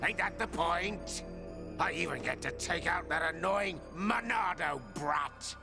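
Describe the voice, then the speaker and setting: An actor playing a gloating, sadistic, bloodthirsty video game villain with a gravelly, mocking voice. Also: male voice, fairly high pitch, a little slow, sharp tone, exaggerated voice. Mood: gloating, taunting. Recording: music behind the voice.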